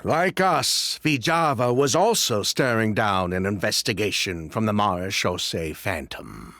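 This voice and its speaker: Bitter ex-merchant, guarded and blunt, animated pitch, dry booth. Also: male voice, dry recording. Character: wary former merchant man, resentful ex-convict. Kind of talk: video game voice acting.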